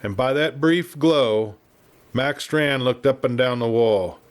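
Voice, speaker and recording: A man with an American accent reading a Western story as an audiobook narrator, in a measured, dramatic storytelling style. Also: mid-range voice.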